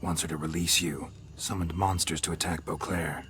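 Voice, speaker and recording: Very deep, terse monster hunter. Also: male voice, moderate pace. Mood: matter-of-fact. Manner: terse, clipped, deadpan. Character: stoic adult male monster hunter, dry and blunt. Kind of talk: video game voice acting. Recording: loud music under the voice.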